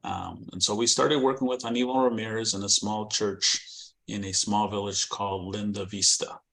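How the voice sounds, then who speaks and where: A middle-aged man with a Californian American accent, a missionary guest telling his story in an interview, reflective and hesitant with many pauses and restarts. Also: on the low side, steady tone, gravelly, fairly bright tone. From born in Guatemala, raised in California.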